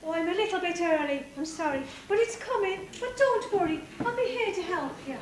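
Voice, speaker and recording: A woman's voice: very high voice, lively intonation, brisk. A woman playing a kindly, whimsical fairy godmother in a stage pantomime. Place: theatre stage. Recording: echoey hall from far off, faint noise.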